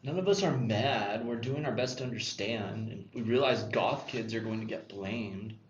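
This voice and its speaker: A man's voice: fairly low pitch, normal speed, lightly acted. Man reading as earnest teenage goth boy, echoey room. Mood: earnest, defensive. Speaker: actor at a screenplay table read. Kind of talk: screenplay table read acting. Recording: echoey room, dull lo-fi, faint noise.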